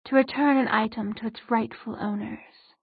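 A haughty fairy queen of ageless cunning, as a woman narrator acts her out. Her voice is on the high side and close and dry. She speaks in formal, imperious phrases, as a storybook ruler who looks down on her listeners. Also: fairly even intonation, normal speed, vocal fry, slightly rough, no room sound, narrow, tinny audio, full character voice.

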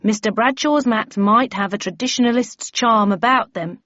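A woman voicing a stern, brisk bellman who chairs a meeting in an officious, declaiming manner, in an audiobook story. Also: on the high side, a bit bright, no room sound, highs cut off.

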